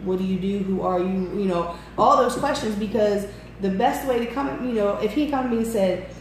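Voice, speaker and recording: A woman's voice: medium pitch, fairly quick. A woman in her forties chatting casually, candid and emphatic, repeating points. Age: 40s. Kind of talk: casual chat. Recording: very echoey room with faint noise.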